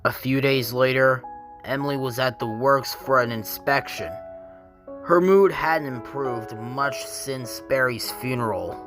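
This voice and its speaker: Male narrator for a children's tale: measured, dramatic, unhurried read over a clear music bed. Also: mid-range voice. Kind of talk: scripted children's story narration. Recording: prominent music behind the voice.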